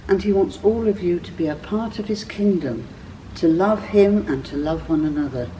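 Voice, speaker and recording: A woman voicing a gentle, inviting male teacher in a children's Bible story, speaking deliberately. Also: lowish voice, recorded from a distance, a little hiss or hum, natural voice, low-quality recording. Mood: earnest.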